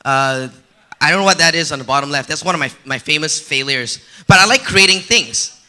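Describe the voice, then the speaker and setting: A man from Malaysia giving a conference talk on stage in a relaxed, personal way with frequent fillers. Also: fairly high pitch, fairly quick, smooth. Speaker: conference speaker. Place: conference stage before an audience.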